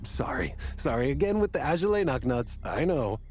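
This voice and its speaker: Man acting a weary, earnest young scholar, lively pitch. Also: faint music behind, lightly acted. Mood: earnest, troubled. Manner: deliberate, formal phrasing, exasperated sighs. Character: weary young male desert temple scholar, earnest, bewildered. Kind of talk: video game voice acting.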